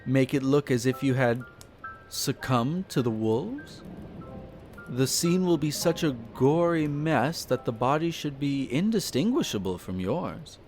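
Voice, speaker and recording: A man playing a possessive, flirtatious vampire master in a roleplay audio drama, speaking formally and condescendingly with theatrical pauses. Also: medium pitch, animated, a little mellow, lightly acted. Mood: coldly condescending. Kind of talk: scripted roleplay audio drama, addressing the listener. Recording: music behind the voice. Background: background music.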